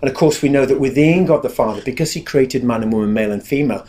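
English man, big carrying voice, self-deprecating, live audience talk, mid pitch. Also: quick pace, warm tone. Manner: chatty, self-deprecating, addresses the room directly.

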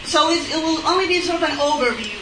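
High-voiced Peruvian woman in an echoey hall. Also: animated, fast. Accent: Peruvian Spanish. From Peru. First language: Spanish. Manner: careful, hesitant, restarts phrases. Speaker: guest speaker, church history lecturer. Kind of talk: public talk. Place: event hall with audience. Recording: echoey room, far mic, noisy.

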